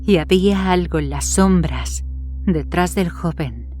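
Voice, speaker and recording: Low-pitched woman narrating a horror story. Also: unhurried, darkish tone. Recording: music behind the voice.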